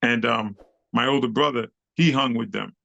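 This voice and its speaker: A man talks in a casual interview. His is Haitian Creole, and he tells animated, slangy stories with frequent laughs. His voice has a gravelly fry, and the sound is dry and close. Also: medium pitch, moderate pace, no room sound, highs cut off. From Haiti, raised in Brooklyn, New York. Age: 60s. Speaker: interview guest, club dancer.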